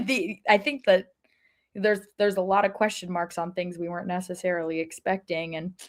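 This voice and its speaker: A woman's voice: fairly low pitch, fairly even intonation, normal speed, pushed and bright. An American woman talking casually as co-host of a sports podcast.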